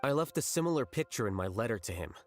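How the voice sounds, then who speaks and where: An actor playing a rational, blunt young scholar in a video game, speaking in a measured, detached, matter-of-fact way. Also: male voice, medium pitch, animated, faint music behind, lightly acted. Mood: cool, blunt. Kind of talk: English video game voice acting.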